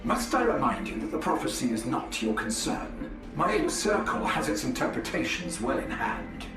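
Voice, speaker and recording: A haughty male alien lord from a video game, with a notably high voice. He speaks in formal, imperious commands. The sound is echoey and slightly distant, with music behind. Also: very animated, normal speed. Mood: cold, dismissive. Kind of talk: scripted video game voice acting. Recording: echoey, set back from mic, music behind.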